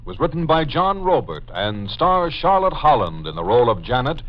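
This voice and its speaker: A man's voice: mid-range voice, sing-song, normal speed. A man announcing a radio drama in formal 1940s broadcast style. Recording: noisy, dull lo-fi 1940s radio recording.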